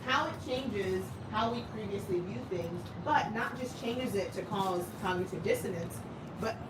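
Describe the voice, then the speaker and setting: A woman's voice: medium pitch, normal speed. Chatty woman leading a study group. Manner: chatty, laughs often. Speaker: women's study group leader. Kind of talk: casual group teaching. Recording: roomy, distant, loud background noise.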